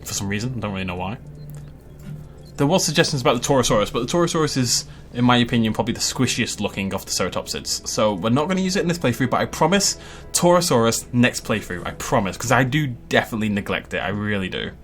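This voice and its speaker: Guy, game commentary, chatty, playful, mid pitch, soft music behind. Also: lively intonation, quick pace. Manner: chatty, laughs often, playful asides. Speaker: game channel host. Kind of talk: casual gameplay commentary.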